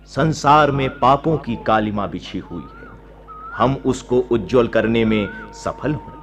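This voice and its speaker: An actor playing a solemn, fearless, righteous monk who preaches in formal, declamatory radio-drama style. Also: male voice, mid-range voice, lively intonation, creaky, slight background noise. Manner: declamatory, preaching, measured moral authority. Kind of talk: Hindi radio drama acting, formal oratory. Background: faint music.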